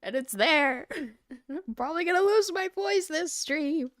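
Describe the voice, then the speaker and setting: A woman streamer with a cold, her throat dry and husky, chatting in a rambling, self-deprecating way with her viewers. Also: high-pitched, lively intonation, measured pace, fairly bright tone, no room sound. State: hoarse from a cold. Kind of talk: casual chat with stream viewers.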